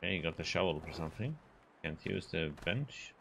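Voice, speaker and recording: A man's voice: deep voice, expressive, fairly quick, gravelly, a bit bright, a little hiss or hum. A man from Sweden, casually commenting on a video game as he plays and muttering to himself and to the characters on screen. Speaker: game streamer.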